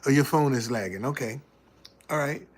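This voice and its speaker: A man's voice: medium pitch, normal speed, husky. A man in his seventies hosting a livestream, preaching about success and reading out viewers' messages in a folksy way. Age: 70s. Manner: folksy, preacherly, reads chat messages aloud. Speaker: livestream host. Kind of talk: casual livestream talk and preaching.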